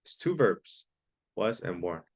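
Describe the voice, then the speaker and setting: A man's voice: deep voice, very animated, takes it slowly, breathy edge. A man teaching an online English class, patiently repeating key phrases and sometimes explaining in Spanish. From Mexico.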